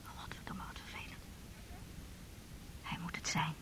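An actress playing a sleepy, sceptical woman in a radio drama, hushed and gravelly, dismissive and grudging. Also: unhurried, in a whisper, lightly acted. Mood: irritated. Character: sleepy, irritated, sceptical woman roused at night. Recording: noisy, rumbling radio play recording.

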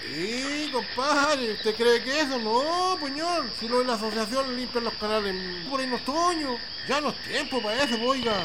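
A man's voice: very high-pitched, moderate pace, few pauses. A man playing an indignant, resigned farmer with a rural Chilean accent, grumbling in colloquial country talk in a radio play. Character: peasant farmer Juan, indignant and resigned, plain-spoken. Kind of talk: amateur radio play dialogue. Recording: outdoor ambience noise with birds and insects.

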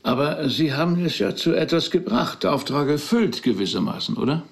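An actor playing a patient, attentive rabbi in a radio play, calmly asking probing questions in a measured way. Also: male voice, fairly high pitch, creaky. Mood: curious, probing. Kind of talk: naturalistic radio play dialogue.

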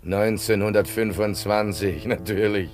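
A man's voice: on the low side, fairly even intonation, unhurried, a little mellow, faint music behind, lightly acted. An actor playing a polite, curious and fussy Spanish harbour policeman in a German radio play, hesitant and courteous. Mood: pondering, fussy.

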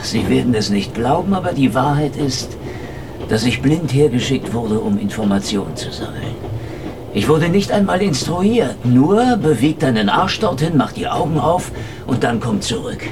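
Radio drama: male actor as flippant, defiant investigator, mid-pitched, animated delivery, clear background noise. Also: moderate pace, natural voice. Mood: sardonic, defiant. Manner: sardonic, flippant, interrogating. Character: wisecracking, defiant male investigator, tough and sardonic. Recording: clear train noise behind.

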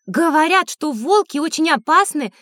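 A woman's voice: high voice, lively intonation, moderate pace, a bit bright, dry recording, studio quality. A woman playing a naive, curious young girl who chips in pertly in a scripted educational audio drama. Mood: earnest.

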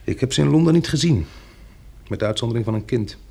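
An actor playing a calm, practical survivor in a radio drama, reasoning in a measured way and soothing like a tender protector. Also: male voice, medium pitch, vocal fry, breathy edge. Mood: grave, reasoning.

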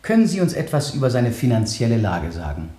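An actor playing an official, insistent public prosecutor in a radio courtroom drama, speaking formally and emphatically. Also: male voice, mid-range voice, sing-song, a little fast, dark tone, lightly acted. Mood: probing, formal. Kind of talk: 1970 German radio drama acting, courtroom questioning. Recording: roomy vintage radio studio sound.

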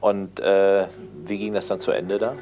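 A man narrating a radio documentary in a calm, measured way and asking interview questions. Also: sits low.

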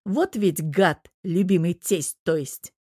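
A woman's voice: on the low side, takes it slowly, a little mellow, slightly rough, no echo, clean studio sound. A woman voicing a stubborn, exasperated young man in love, slangy and defiant, in a story reading. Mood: sarcastic.

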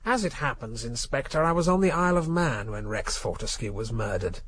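Radio drama role: self-righteous idealist, prickly, precise, mid-pitched with a husky edge. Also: male voice, expressive, a little fast, fairly bright tone. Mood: smug, prickly. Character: smug, prickly political idealist under questioning. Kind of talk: British radio drama acting.

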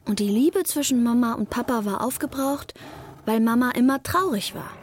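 A woman's voice: fairly high pitch, normal speed, a little hiss or hum, natural voice. A woman playing a naive, earnest young child who narrates a radio drama in a plain, matter-of-fact way.

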